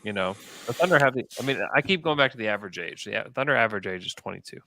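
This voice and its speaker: A man's voice: fairly low pitch, lively intonation, rapid-fire, sharp tone, slight background noise, rough recording. A man hosting a sports podcast, arguing his points emphatically in a casual debate.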